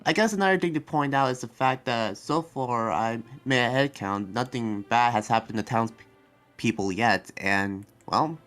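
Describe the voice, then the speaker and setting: A man playing an earnest, soft-hearted demon researcher in an improvised anime roleplay, speaking in a halting, rambling way. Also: medium pitch, natural voice. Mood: reflective, relieved. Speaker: roleplay player. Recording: background music under the voice.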